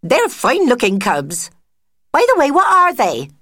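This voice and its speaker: A woman voicing a gushing female mouse friend with a shrill, loud, quick way of talking. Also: huge pitch swings, dry recording, studio quality, exaggerated voice.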